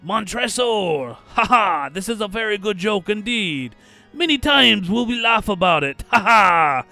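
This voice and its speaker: Man voicing tipsy nobleman, high bright voice, trembling and pleading, drawn-out cries, gothic storybook. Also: animated, soft background music, exaggerated voice. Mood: nervous laughing. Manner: loud, drawn-out, theatrical cries. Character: merry drunken doomed nobleman, pleading and trembling. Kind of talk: dramatic short story reading.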